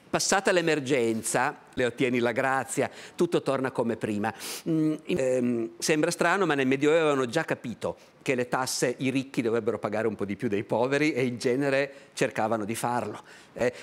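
A man, a historian guest telling stories on a television talk show, animated and gesturing as he explains. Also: mid-range voice. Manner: animated, gestures with both hands while explaining. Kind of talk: lively storytelling on a TV talk show. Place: bright TV studio set. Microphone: lapel mic.

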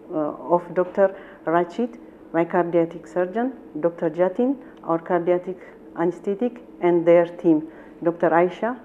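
A middle-aged woman from Ethiopia, the patient's wife, reading a handwritten letter aloud slowly and carefully, with halting pauses, seated in a small indoor room. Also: fairly low pitch, muffled recording, a little hiss or hum.

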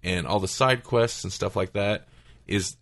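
Casting: male podcast host, lower-pitched voice, casual gamer chat. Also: measured pace, a bit bright. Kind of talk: casual chat about video games.

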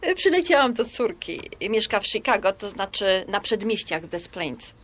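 A woman's voice: mid-range voice, sing-song, moderate pace, a bit bright. An actress playing a polite, reserved woman of about sixty in an audio drama, speaking conversationally and with some hesitation. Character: polite, reserved mother around sixty visiting from Poland.

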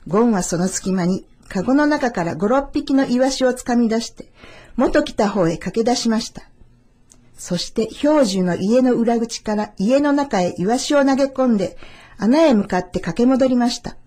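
A woman reading a children's tale as scripted narration, in a measured, expressive storytelling manner. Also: on the high side.

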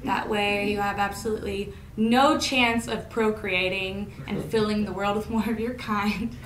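A young woman from Indiana doing a stand-up comedy set, deadpan and self-mocking, often laughing at her own jokes, recorded by a camera out in a lecture hall. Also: medium pitch, normal speed. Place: college lecture hall stage. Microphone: far camera in the room. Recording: echoey hall, audible room noise.

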